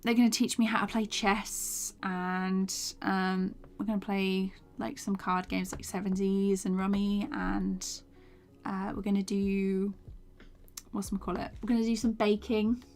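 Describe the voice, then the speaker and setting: A young woman chatting casually while she animates on a live stream, her voice tired from a day of talking, often laughing and drifting into soft mumbles as she works. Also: medium pitch, level tone, unhurried. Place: small home room, indoors. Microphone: desk microphone with foam cover.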